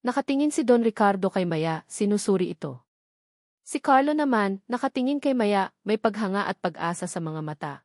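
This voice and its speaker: Babaeng tagapagsalaysay, tuyo at malapit ang tunog. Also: female voice, mid-range voice, animated, normal speed, no echo, clean studio sound. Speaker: narrator. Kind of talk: scripted dramatic story narration.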